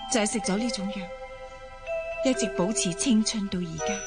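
A woman's voice: medium pitch, moderate pace, loud music behind the voice, natural voice. A woman playing an eternally youthful, secretive woman in a radio drama, hesitant and earnest. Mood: solemn confession. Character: eternally youthful woman aged eighty-five, sad, secretive.

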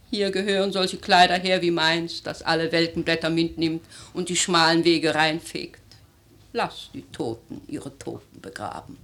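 A woman's voice: on the low side, close to an everyday voice. Woman acting an imperious old aristocratic grandmother. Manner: theatrical, measured, lyrical, with emphatic swells and hushed phrases. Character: aristocratic old grandmother, worldly, life-loving, imperious and impatient. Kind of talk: literary German radio drama acting.

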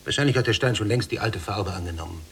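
A male actor plays a bitter young prisoner in a radio play. His voice is very low and full of fry, brooding and sardonic, suspicious of everyone. A faint hum runs under the recording. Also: fast talker, lightly acted. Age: young adult. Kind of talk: literary radio play acting.

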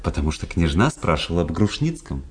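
An actor playing a cool, ironic, bored young officer in a radio play, speaking in a measured aristocratic way. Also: male voice, sits low, sing-song, breathy edge, exaggerated voice. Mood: cool irony. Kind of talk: radio drama acting.

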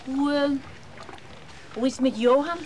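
A woman's voice: high voice, measured pace, darkish tone, slight background noise. An actress playing a flamboyant, insistent married woman, direct and coaxing, in a naturalistic radio drama. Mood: breezy.

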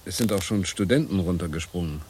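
Fragender Mann, tiefe Stimme. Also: male voice, low voice, lively intonation, a little fast, a little mellow, a little hiss or hum. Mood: inquiring. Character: inquiring male interlocutor. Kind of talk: German radio play dialogue.

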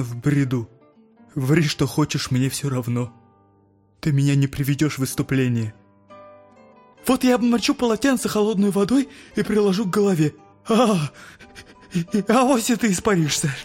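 A man's voice: fairly high pitch, expressive, normal speed, darkish tone, soft background music. An actor playing a feverish, tormented young man in an audio drama, arguing scornfully with his own hallucination. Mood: feverish, defiant. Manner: agitated, scornful, insulting. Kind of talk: dramatic audio play acting.

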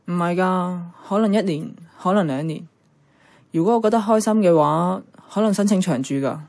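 A woman's voice: on the low side, normal speed, darkish tone, lightly acted. An actress with a Hong Kong Cantonese accent playing a thoughtful, frank young woman in a radio drama. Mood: hesitant, frank. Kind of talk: radio drama dialogue and narration.

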